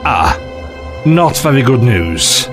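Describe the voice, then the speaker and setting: A man's voice: mid-range voice, very animated, slow. Guy doing a glib eccentric space trickster, audio drama, voice jumps all over, condescending and chatty, music under it. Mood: flippant, urgent. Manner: flippant, theatrical, chatty, condescending. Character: glib, eccentric, cheeky alien time-travelling trickster.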